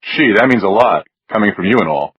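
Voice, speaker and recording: A man's voice: lowish voice, lively intonation, a little fast, highs cut off, slight background noise, lightly acted. A man voicing a sarcastic, defensive everyman who speaks plainly, as an audiobook character. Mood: wry sarcasm. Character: wisecracking, defensive everyman, wry and exasperated.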